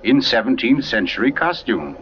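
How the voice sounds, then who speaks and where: An actor playing an urbane, theatrical crime writer who narrates a murder mystery in a suave, dramatic 1940s radio manner with lingering pauses. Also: male voice, medium pitch, very animated, slight background noise, close to an everyday voice. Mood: suspenseful. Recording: muffled 1940s radio recording with music.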